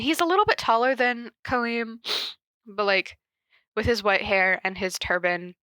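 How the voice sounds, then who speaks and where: A woman's voice: mid-range voice, pushed and bright, clean tone, no echo. A woman hosting a casual solo podcast, chatting in a rambling way with lots of ums and likes while fighting a stuffy nose from a cold. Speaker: podcast host.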